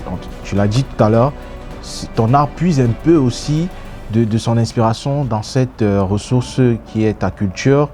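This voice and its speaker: A man hosts a casual interview at a middle pitch, building his questions through repeated stammered restarts. He keeps affirming his guest as she talks. Faint music plays behind him. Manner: stammering restarts, warm affirmations, laughs along. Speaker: interview host.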